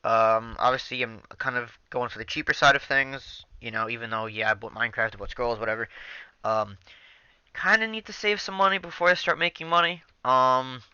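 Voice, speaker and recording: A man's voice: medium pitch, steady tone, a little fast, pushed and bright, smooth, dry recording, highs cut off. A young man casually talking over his gameplay into a headset mic, rambling with many ums and restarts. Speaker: gaming channel host.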